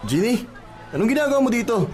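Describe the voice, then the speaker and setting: Man acting an awkward, quick-talking garbage collector. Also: extremely high pitch, sing-song, a little mellow, soft background music, some chatter behind. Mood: amused, surprised. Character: awkward, contrite garbage collector warming to a housemaid. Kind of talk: radio-drama style acting.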